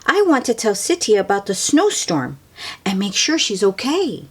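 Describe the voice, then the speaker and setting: A woman's voice: medium pitch, big pitch swings, normal speed, husky, pitched above the performer's own voice. A woman voicing an eager, caring young girl from a picture book, earnest and full of wonder.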